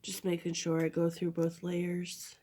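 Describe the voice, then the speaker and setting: A woman with a stuffy nose from a cold, chatting through a craft tutorial at her table, halting often and correcting herself. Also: lowish voice, completely flat delivery, warm tone, slight background noise. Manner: halting, chatty, many fillers and self-corrections. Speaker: crafter demonstrating a project.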